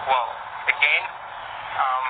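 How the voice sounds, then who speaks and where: A man's voice: medium pitch, slow. A man talking casually and hesitantly, with many fillers, in a voice message. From Indonesia. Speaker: discussion moderator. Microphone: phone voice message. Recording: phone-like audio with clear noise.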